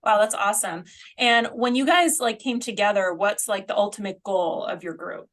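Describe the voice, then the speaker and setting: A woman's voice: mid-range voice, moderate pace, fairly bright tone. A woman hosting a medical podcast, asking questions in a friendly, casual way. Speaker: podcast host. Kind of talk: podcast interview.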